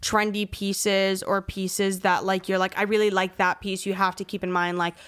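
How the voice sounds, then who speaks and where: A woman's voice: mid-range voice, little pitch movement, moderate pace, a bit bright, clear, resonant tone. A young woman hosting a podcast in a casual, slangy, rambling way, peppering her talk with "like". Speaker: podcast host.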